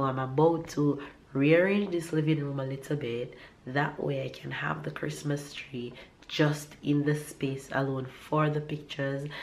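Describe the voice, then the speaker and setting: Young Jamaican woman vlogger, very low and a little hoarse, rambling chat to camera, faint room hiss. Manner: rambling, warm, upbeat, with restarts. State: slightly hoarse, recovering from a cough. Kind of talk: casual vlog chat to camera. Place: living room at home.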